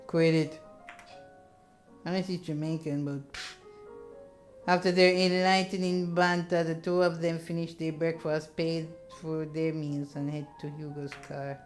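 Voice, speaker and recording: A woman's voice: low voice, little pitch movement, normal speed, soft background music. A woman reading a visual novel aloud as a let's player, with slangy, playful asides. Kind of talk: casual narration and commentary on a game.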